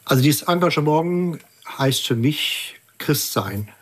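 A man giving a radio interview as a church environmental activist, explaining in a measured, earnest way. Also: on the high side, expressive, breathy edge.

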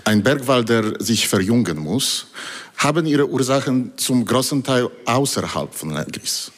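A man speaking German as a second language, asking a question at a public forum, halting and reading from notes with many fillers. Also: medium pitch, lively intonation. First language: not German. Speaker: audience member asking a question. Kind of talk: public town hall question. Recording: hall reverb.

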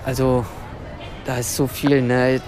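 A man's voice: mid-range voice, monotone, takes it slowly, darkish tone, soft background music, a faint crowd. A young man from Germany speaking spontaneously in an interview. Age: young adult.

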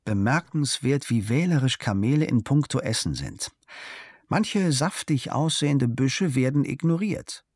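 A man calmly reading a travel diary aloud in a measured storytelling manner, recorded close to a studio mic. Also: medium pitch, husky, dry recording. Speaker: narrator.

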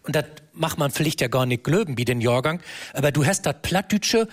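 A man's voice: on the high side, lively intonation, brisk. A man co-hosting a talk show, moderating and prompting guests with added context. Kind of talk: moderating, partly scripted.

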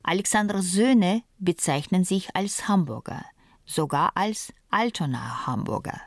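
Deep, slow female documentary narrator. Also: no echo. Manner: measured, even delivery.

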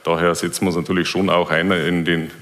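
Deep-voiced man, hesitant. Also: little pitch movement, fast talker, a bit bright. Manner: hesitant, many fillers. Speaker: local politician answering questions. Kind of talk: public panel answer. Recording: reverberant hall.

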